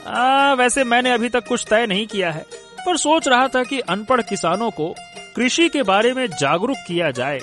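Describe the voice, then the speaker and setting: Man acting a bashful young villager, swooping pitch. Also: expressive, moderate pace, fairly bright tone, faint music behind. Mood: eager, flustered. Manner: hesitant, flustered, polite. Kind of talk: Hindi cartoon story acting.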